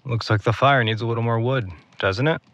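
A man's voice: medium pitch, a little fast, fairly bright tone, no room sound, lightly acted. A man playing a guarded, stubborn young man in an audio drama, with an understated, hesitant delivery. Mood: practical, teasing. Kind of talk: naturalistic audio drama acting.

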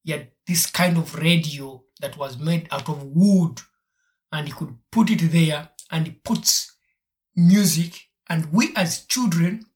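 A podcast host from Uganda, gently polite and encouraging while interviewing a guest and sharing memories. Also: mid-range voice, takes it slowly.

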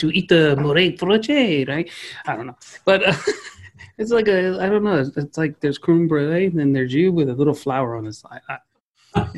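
A man's voice: on the high side, husky. A man with an American accent hosting a podcast in a playful, effusive, rambling way, joking with his guest. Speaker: podcast host. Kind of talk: casual interview chat.

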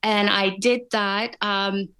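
A woman with a Turkish accent, answering interview questions as a guest in a measured, reflective way. Her voice sits at a medium pitch. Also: level tone, pushed and bright, clear, resonant tone. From Turkey. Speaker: guest, wound healer and coach.

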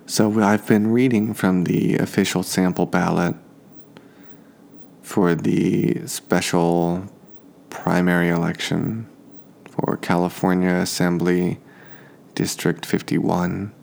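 A tired man hosting a sleep podcast, speaking softly in an unhurried, relaxed and understated ramble. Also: sits low, vocal fry. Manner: slow, deliberately relaxed, understated. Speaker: podcast host. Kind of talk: soft-spoken rambling sleep podcast monologue.

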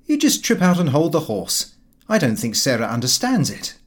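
Storybook role, acted by a male reader: brash, breezy young son, raised pitch, very animated, cheekily slangy. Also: moderate pace. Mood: breezy, teasing. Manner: loud, slangy, flippant.

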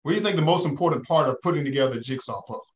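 A man's voice: mid-range voice, very fast, highs cut off. A man working as a financial advisor, talking listeners through money questions in a preacherly way, checking in with "okay?" and "right?". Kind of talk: call-in advice talk.

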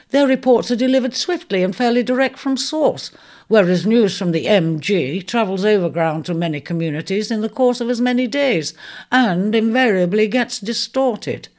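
A woman's voice: mid-range voice, a little mellow, lightly acted. A woman voicing a robust, hearty male centaur who speaks in a big, amused, open-minded way.

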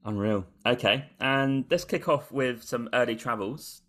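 A man's voice: mid-range voice, a little slow, a bit bright. A man hosting a travel podcast, asking short friendly questions in a casual chat. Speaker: podcast host. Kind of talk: casual interview conversation. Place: on a road trip in Quebec.

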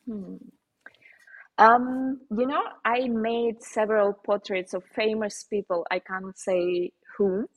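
A woman painter with a Slovenian accent, speaking as a guest in a casual interview, pausing often with fillers and restarts. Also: medium pitch, steady tone, low-quality recording. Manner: halting, many fillers and restarts, laughs often.

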